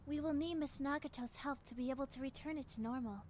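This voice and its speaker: A woman playing a gentle young time traveller in an anime fan dub, patiently explaining and reassuring. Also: high-pitched, fast talker, slight background noise, close to an everyday voice. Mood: serious, explaining. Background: background music.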